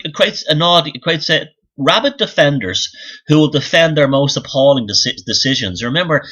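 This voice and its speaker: Bright-voiced man in an opinionated monologue. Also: mid-range voice, slightly rough, muffled recording. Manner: blunt, sweary, scornful. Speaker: YouTube commentator and author.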